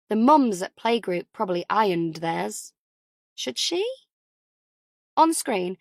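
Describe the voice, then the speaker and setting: A woman's voice: mid-range voice, no echo, studio quality. A woman reading a thriller novel as a scripted audiobook narration.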